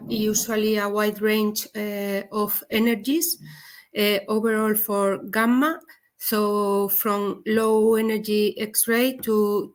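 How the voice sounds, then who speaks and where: A woman with a Spanish accent giving a technical webinar presentation, speaking haltingly with frequent pauses. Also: medium pitch. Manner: hesitant, halting non-native delivery with frequent pauses. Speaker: presenter, head of a dosimetry service.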